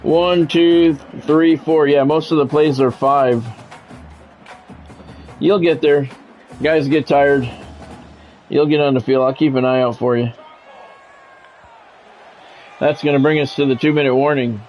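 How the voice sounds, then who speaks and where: A man's voice: on the high side, steady tone, measured pace, long pauses. A man streaming a sports video game, switching between play-by-play commentary and casual chat. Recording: dull lo-fi audio, music behind.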